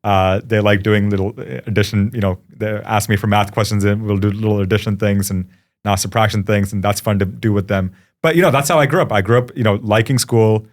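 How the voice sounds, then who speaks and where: Low-voiced man from India, 30s, founder guest; casual, reflective, pausing often. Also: fairly even intonation. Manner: thoughtful, reflective, often pausing with "you know". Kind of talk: casual interview conversation.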